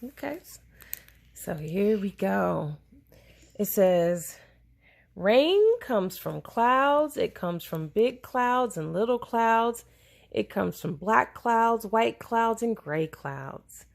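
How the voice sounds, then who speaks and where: A woman reading a children's science picture book aloud, phrasing it simply and deliberately for a young listener. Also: medium pitch, lively intonation, creaky.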